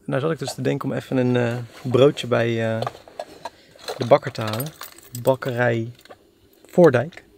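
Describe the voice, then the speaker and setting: A young man chatting easily from behind the wheel, joking about his nerves on the road. His voice sits at a middle pitch, and the hum of the car and road runs clearly underneath. He sounds relaxed and talks like he is filming a vlog. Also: normal speed, pauses often. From Netherlands. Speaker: vlogger. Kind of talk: casual vlog chat. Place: inside a car, later a sunny town square outdoors.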